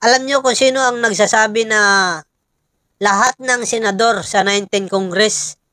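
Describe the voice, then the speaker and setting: A Filipino woman giving informal political commentary, pausing often and emphatically putting rhetorical questions to listeners. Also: on the high side, fairly even intonation, a bit bright, no echo, studio quality.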